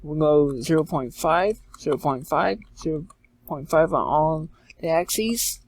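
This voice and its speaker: A man giving an informal step-by-step software tutorial in a halting way, repeating and correcting himself as he goes. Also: fairly high pitch. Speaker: tutorial presenter. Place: indoors, screen-recording voice-over.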